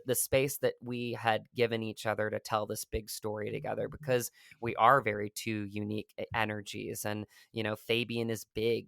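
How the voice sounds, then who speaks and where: A man answering as an actor guest in an interview, speaking earnestly and effusively with thoughtful pauses. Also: lowish voice, creaky, sharp tone, clear, resonant tone, no room sound, studio quality. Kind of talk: reflective conversational interview answers.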